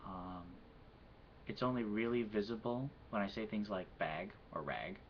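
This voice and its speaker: A man with a Midwestern accent answering an accent questionnaire and slowly reading a poem aloud, with hesitant pauses. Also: fairly low pitch, a little hiss or hum. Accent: Midwestern American. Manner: measured and soft-spoken, with hesitant "um"s. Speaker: vlogger answering an accent challenge. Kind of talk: casual answers and slow poetry reading. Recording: quiet, dull lo-fi home recording.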